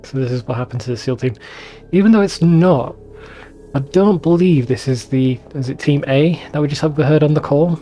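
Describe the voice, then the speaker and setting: Let's play host, male, relaxed warm tone, casual wry commentary, some swearing, light game music underneath. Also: fairly high pitch, normal speed.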